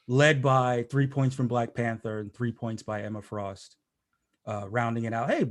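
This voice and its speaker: A male podcast host who jokes his way through a casual chat, often restarting his sentences as he rambles on. His voice sits at a middling pitch. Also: fairly even intonation, normal speed. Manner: rambling, joking, many restarts.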